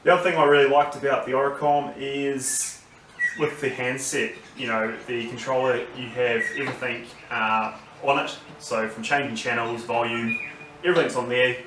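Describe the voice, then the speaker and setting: A man with an Australian accent giving a casual, unscripted review, halting as he thinks aloud. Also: medium pitch, steady tone. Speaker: vlogger reviewing gear. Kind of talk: casual unboxing and review talk. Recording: roomy, distant mic, noisy background.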